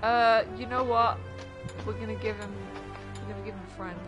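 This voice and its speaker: A woman's voice: on the high side, steady tone, brisk, muffled recording. A young woman streaming a game, chatting casually with a friend, giggly and playful. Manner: giggly, playful, sings bits of songs. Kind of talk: casual gaming chat with a friend. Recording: game music playing under the voice.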